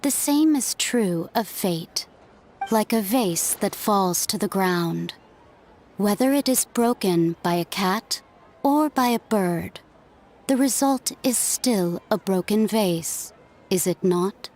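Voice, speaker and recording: A woman's voice: mid-range voice, lively intonation, takes it slowly, a little mellow. A woman voicing a mysterious, fatalistic unseen figure in a video game, speaking solemnly and enigmatically. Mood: calm, cryptic. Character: mysterious unseen female voice, calm, cryptic, fatalistic.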